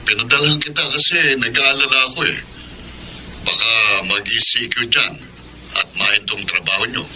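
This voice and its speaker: A man's voice: mid-range voice, normal speed, bright, forceful tone, slight background noise, rough recording. A man playing a kindly, protective middle-aged bachelor uncle in a Filipino radio drama. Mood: caring.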